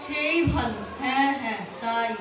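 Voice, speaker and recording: A woman acting a naive, chatty young bride in a comedy audio play, speaking Kolkata Bengali in an unhurried, guileless way. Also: fairly high pitch, a crowd in the background, natural voice. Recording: very echoey, distant, clearly noisy.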